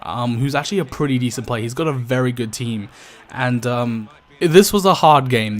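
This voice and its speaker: A young man talks viewers through his gameplay in a loose, rambling voice-over. His voice is worn and a little croaky from tiredness, and his speech is full of ums and asides to the guys watching. His pitch sits in the ordinary middle range for a man. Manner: chatty, addresses viewers as guys, many ums. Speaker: gaming YouTuber. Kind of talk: casual gameplay commentary.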